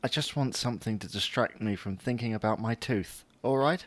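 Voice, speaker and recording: A man's voice: lowish voice, normal speed, fairly bright tone, no room sound, close to an everyday voice. A man playing a grumpy, whining, babyish husband in an audio comedy drama, grouchy and plaintive in manner. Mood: grumpy, sulky.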